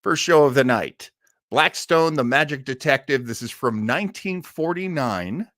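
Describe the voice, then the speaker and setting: Animated man hosting casually, dry sound. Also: medium pitch, moderate pace, dry recording. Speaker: host of an old-time radio show stream. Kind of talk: casual rambling introduction.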